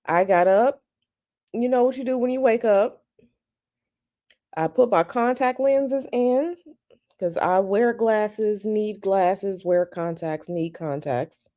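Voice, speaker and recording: A woman with a slightly raspy morning voice, chatting casually with viewers on a live stream from home and greeting them by name. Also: medium pitch, slow pace, mellow. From United States. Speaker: tarot channel host. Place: at home, bedroom.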